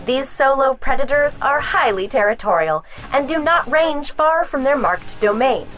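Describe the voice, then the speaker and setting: High, lilting female guide voice. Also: lively intonation, normal speed, a bit bright, faint music behind, poor audio. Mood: formal, informative. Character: female hunter's guide voice reciting creature entries. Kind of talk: scripted encyclopedia narration, formal announcer delivery.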